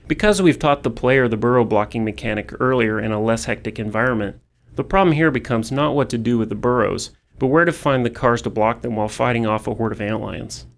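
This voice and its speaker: A man with a medium-pitched voice full of vocal fry gives scripted commentary. He explains design work as a game developer. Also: fairly quick.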